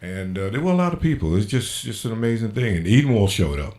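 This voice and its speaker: Low-voiced man chatting casually. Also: big pitch swings, fast talker, a little mellow. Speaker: guest event organizer. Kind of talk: casual chat.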